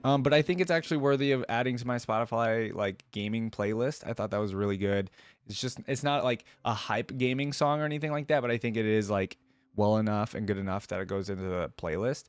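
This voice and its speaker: A man's voice: lowish voice, brisk, clean tone, no echo. A man hosting a reaction video, chatting casually and enthusiastically in slangy fan talk.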